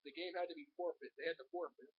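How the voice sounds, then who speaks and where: Hoarse male sports vlogger, high pitched, rambling, muffled webcam sound. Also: fairly even intonation, fast talker. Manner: chatty, rambling, addresses viewers as folks. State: hoarse with a cold. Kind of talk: casual sports commentary to camera. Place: home.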